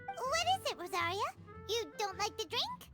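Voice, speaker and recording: Very high, squeaky little fairy. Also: female voice, big pitch swings, normal speed. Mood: cheeky, curious. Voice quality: squeaky, childlike. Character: tiny childlike female fairy companion, cheeky and excitable. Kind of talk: video game voice acting. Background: music.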